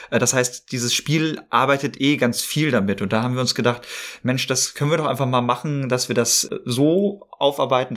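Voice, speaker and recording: A man with a voice of medium pitch chats as a podcast guest. He explains things informally, in loose spoken sentences. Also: a bit bright. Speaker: interview guest, role-play podcaster. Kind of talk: casual explanatory chat.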